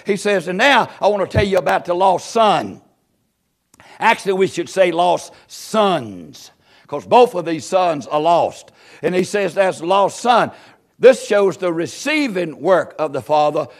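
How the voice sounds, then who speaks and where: A man preaching a sermon, expounding scripture with emphatic, repeated phrases and questions put to his listeners. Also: high voice. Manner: emphatic, repetitive cadences, rhetorical questions to listeners. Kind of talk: sermon, expounding Bible verses to a congregation.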